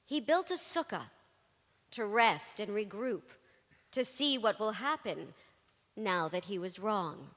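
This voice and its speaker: Female spoken word reader, emphatic and unhurried, bright forceful voice in a big echoing hall. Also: mid-range voice, expressive. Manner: emphatic, deliberate phrasing. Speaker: reader in a dramatic reading. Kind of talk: dramatic spoken word recitation. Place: large reverberant temple hall. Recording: long hall reverb.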